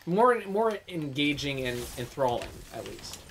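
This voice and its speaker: A man's voice: fairly high pitch, very animated, unhurried, noisy background. A young man co-hosting a livestream, talking candidly and hesitantly with many ums while gaming. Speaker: livestream co-host and voice actor. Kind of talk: casual chat while playing a game.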